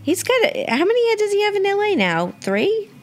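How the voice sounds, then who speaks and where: A woman's voice: extremely high pitch, huge pitch swings, normal speed, faint music behind. A woman hosting a radio show, chatting casually as she interviews a guest. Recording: clearly noisy background.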